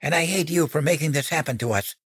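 Male narrator as angry hurt boy, higher pitch, defiant, agitated, accusing, audiobook drama. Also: fast, breathy edge, dry recording. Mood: hateful. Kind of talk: dramatic audiobook character acting.

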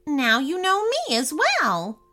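A woman acts out a friendly storybook witch with a high, swooping voice. She is chatty and gushing, full of grateful excitement. Soft music plays along. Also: slow, heavily acted. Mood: friendly, reassuring. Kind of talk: children's storybook character voice.

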